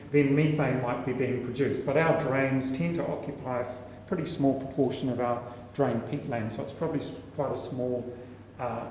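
A man's voice: mid-range voice, darkish tone. A man, giving a seminar talk as a university researcher, explaining step by step with frequent ums and restarts. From New Zealand. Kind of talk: conference talk. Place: meeting room, indoors. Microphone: stand mic at a lectern. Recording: echoey hall, faint room noise.

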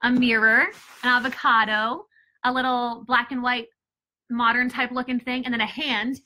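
A young woman with a bright, forceful voice pitched a little high, talking chattily to camera as she demonstrates baby gear. She sounds upbeat and loose, with plenty of fillers. It is recorded from a few feet away on a living room floor. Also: little pitch movement, normal speed, low-quality recording. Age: young adult. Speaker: product reviewer and mother. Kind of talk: casual product demo to camera.

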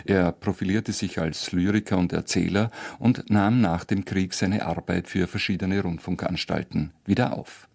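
Deep, gravelly male radio presenter. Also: fairly even intonation, normal speed, few pauses, darkish tone. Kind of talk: scripted narration.

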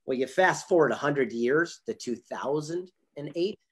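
A man in his fifties with a husky voice, telling motivational anecdotes emphatically on a video call and acting out the dialogue. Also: a little high, lively intonation, normal speed. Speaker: motivational speaker and author, interview guest. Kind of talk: animated motivational storytelling in an online interview. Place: indoor home office with memorabilia wall. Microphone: video call, computer mic.

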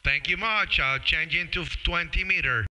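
A man's voice: a little high, moderate pace, background noise. A middle-aged man making casual ham radio contact as the operator, speaking hesitantly and repeating words and call signs, close on a studio microphone. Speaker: amateur radio operator and live streamer. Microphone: boom-arm studio microphone close to mouth, with headset.

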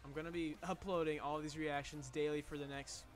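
Slightly hoarse young man, chatty. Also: on the high side, flat delivery, fairly quick, soft background music. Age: young adult. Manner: chatty, rambling, with restarts. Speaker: music reaction YouTuber. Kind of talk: casual reaction commentary. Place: small bedroom. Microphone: headset.

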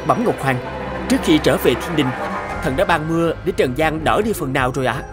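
A man voicing an earnest, dutiful old cloud god in an animated fairy tale, formal and respectful. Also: a little high, a little fast, close to an everyday voice. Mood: urgent, respectful. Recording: music under the voice.

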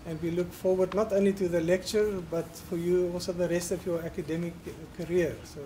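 High mellow voice, guy in his 50s introducing a speaker at a podium, warm, lots of uhs, faint hiss. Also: little pitch movement. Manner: warm, halting with uh and um. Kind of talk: formal ceremonial introduction. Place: large lecture hall. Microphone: podium microphone.

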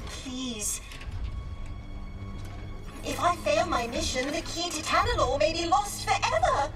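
Game role: antique servant droid, slow, swooping delivery, courteous and fragile, loud music behind. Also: female voice, sits high, animated. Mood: earnest pleading. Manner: formal, polite, halting speech. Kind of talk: video game cutscene acting. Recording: distant voice under loud music.

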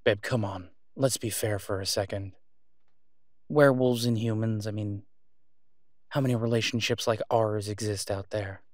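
A man playing a worried, devoted boyfriend in an audio roleplay, speaking haltingly and earnestly, as in intimate conversation. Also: on the low side, steady tone, no echo, studio quality, close to an everyday voice. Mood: earnest, conciliatory.

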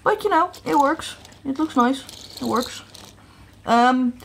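A woman's voice: sits high, expressive, slow pace, creaky, slight background noise. A woman from the Netherlands chatting casually through a toy review, hesitant with many fillers and restarts. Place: indoor room at a tabletop set.

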